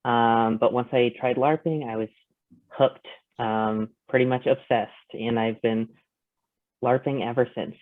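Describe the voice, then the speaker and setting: A man speaking casually and haltingly as a panel guest. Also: mid-range voice, fairly even intonation, no room sound. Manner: hesitant, halting. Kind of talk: casual self-introduction. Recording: thin phone-like call, dry.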